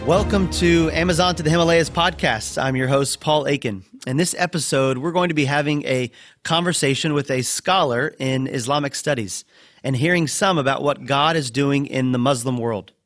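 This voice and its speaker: A man hosting a podcast interview, introducing his guest and asking questions in a warm, friendly way. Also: medium pitch, moderate pace, no room sound, studio quality, soft background music. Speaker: podcast host.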